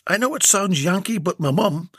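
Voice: medium pitch, animated, moderate pace, husky, no echo, clean studio sound, voice pushed up. A reader voicing a tallish, apologetic male plumber in a comic storybook, with an earnest, fawning delivery. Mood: eager. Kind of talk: storybook character voice.

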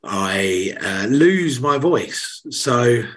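A young man with a hoarse voice, chatting casually as a podcast co-host and telling rambling, sweary stories. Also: medium pitch, expressive, extremely slow, a bit bright. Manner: tired, rambling storytelling with swearing. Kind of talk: casual chat.

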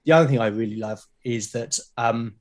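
A British man talking as a podcast guest, explaining his creative process in a reflective, halting way. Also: mid-range voice. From Britain. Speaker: podcast guest, creator of a solo role-playing show.

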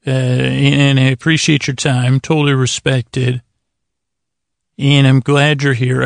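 A man hosting a bedtime podcast in a casual, meandering monologue, halting, stammering, repeating himself and gently self-deprecating. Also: medium pitch, creaky, no echo, studio quality. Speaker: podcast host.

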